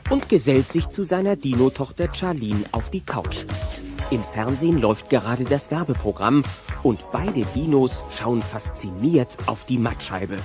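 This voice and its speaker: A man's voice: mid-range voice, expressive, a little slow, over music. A man narrating a scripted audio drama for listeners. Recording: muffled cassette transfer.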